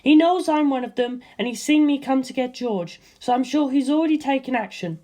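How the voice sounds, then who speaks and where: A woman putting on a sharp, commanding warrior woman. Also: high voice, voice pushed up, lightly acted. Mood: urgent. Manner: brisk, analytical.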